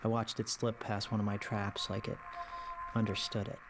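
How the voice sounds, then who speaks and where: A man's voice: on the low side, flat delivery, normal speed, gravelly, a little hiss or hum, poor audio. A man playing a haunted, reflective lone apocalypse survivor, confiding in a plain-spoken found-footage horror monologue. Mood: uneasy, haunted.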